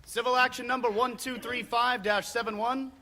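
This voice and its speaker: Very high-pitched male court clerk. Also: little pitch movement, normal speed, vocal fry, bright, forceful tone, full character voice. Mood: ceremonial, proclaiming. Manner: declamatory. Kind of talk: formal proclamation in radio drama.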